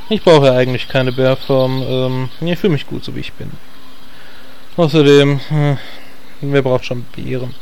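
A man's voice: medium pitch, fairly even intonation, normal speed, darkish tone, clean tone, natural voice. An actor playing a young male druid who talks in a hesitant, evasive way. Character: young male night-elf druid, sly and squeamish.

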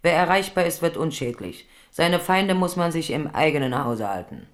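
A woman's voice: sits low, animated, moderate pace. An actress in a radio drama playing a middle-aged queen mother, domineering and scheming, with a commanding, condescending manner. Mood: calculating.

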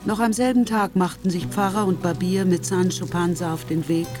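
Storytelling narrator, mid register, scripted literary radio play delivery over soft music. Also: mid-range voice, steady tone, normal speed. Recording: faint music behind the voice.

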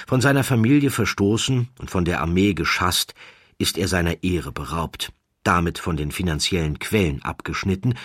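A man with a very low, husky voice reads a story as an audiobook narrator. His delivery is measured and dramatic, with deliberate pauses. The sound is very dry and close to the microphone. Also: dry recording. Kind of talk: scripted literary narration of a biographical story.

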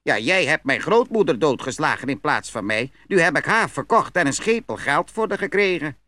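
A small, poor but crafty young peasant in a storybook play. The voice is fairly high and bright, recorded dry, and glibly tells tall tales as if perfectly innocent. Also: male voice, sing-song, normal speed, dry recording. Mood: mock-reproachful. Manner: glib, storytelling, feigned innocence. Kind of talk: fairy-tale audio play acting.

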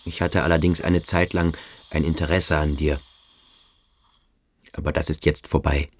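An actor playing a cold, aloof, brooding boarding-school pupil in a radio drama, with a detached, dismissive manner. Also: male voice, a bass voice, little pitch movement, normal speed. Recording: music behind the voice.

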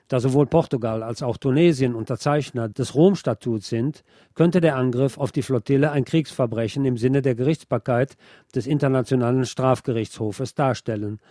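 A man presenting scripted political news commentary in a measured, formal delivery. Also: mid-range voice, mellow, no echo, studio quality.

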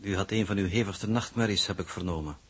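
Space coordinator, low husky voice, precise, detached, formal, sci-fi radio play of the 1960s. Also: male voice, fast, fairly bright tone. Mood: cool, clinical. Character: male space coordinator, coolly formal, clinical, faintly reproachful. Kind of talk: 1960s Dutch radio drama acting.

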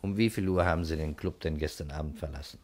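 A shrewd investigator in a 1960s radio crime drama. His voice is very low. He questions people politely and with understatement, always probing a little further. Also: fast talker, a little mellow. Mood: courteous, probing. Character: shrewd, courteous male investigator questioning witnesses.

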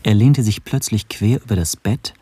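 Husky, guarded, polite man. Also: lowish voice, moderate pace, warm tone, dry recording. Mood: sober narrating. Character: guarded, evasive Hungarian émigré, polite narrator-protagonist. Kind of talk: radio play dialogue and first-person narration.

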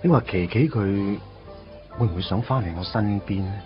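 A man's voice: fairly low pitch, moderate pace, distant mic, lightly acted. An actor playing a wistful man in his late twenties pining for his first love in a radio drama, speaking Cantonese with a Hong Kong accent in a nostalgic, storytelling way. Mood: troubled, hopeful. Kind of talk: radio drama acting, first-person narration. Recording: dull lo-fi radio recording. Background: music.

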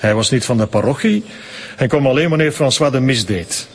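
An actor with a Flemish accent playing a prim, devout church sexton in a radio drama, formal, evasive and curt. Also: male voice, medium pitch, expressive, brisk, husky. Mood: guarded.

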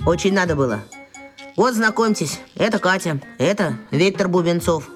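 A brash young schoolboy played by a woman, touchy and very animated in intonation. He speaks in children's radio drama style over faint music. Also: on the low side, normal speed, lightly acted. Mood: breezy. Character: brash, touchy schoolboy around ten years old.

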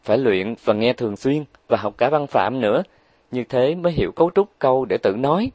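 A man's voice: medium pitch, animated, a little fast, darkish tone, no echo, dull audio, lightly acted. A man voicing a reserved, earnest young woman who works as a tutor, speaking cautiously and sincerely.

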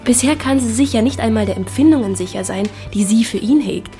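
Spirited, higher-voiced young gentlewoman acted by a woman. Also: fairly quick, close to an everyday voice. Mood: earnest, amused. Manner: playful, teasing, self-possessed. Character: spirited, witty young gentlewoman with a teasing streak. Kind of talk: German radio drama acting. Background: music.

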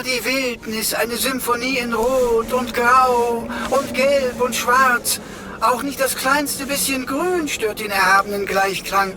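Pompous, cheeky computer sidekick, background noise. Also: male voice, very high voice. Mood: theatrically rapturous. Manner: pompous, mock-archaic, wordy, teasing. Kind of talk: radio play acting.

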